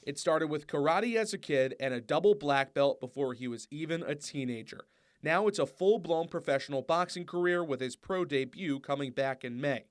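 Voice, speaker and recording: A young man with a fairly high-set voice works as a sports reporter. His delivery is polished and broadcast-ready, suited to interviews and narrated features. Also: moderate pace. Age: young adult.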